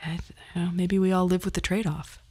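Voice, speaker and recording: A woman's voice: fairly low pitch, little pitch movement, fast talker, mellow, slightly rough. A woman in her fifties hosting a podcast, telling personal stories in a candid, wry and self-deprecating conversational way. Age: 50s. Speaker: podcast host. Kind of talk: personal monologue storytelling, conversational.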